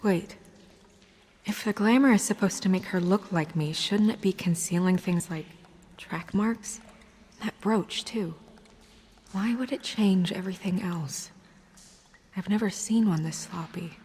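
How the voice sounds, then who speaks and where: A woman playing a prim, serious, no-nonsense woman in charge in a video game, with a slightly husky voice and measured phrasing. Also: mid-range voice, a little mellow, big-room sound. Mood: skeptical.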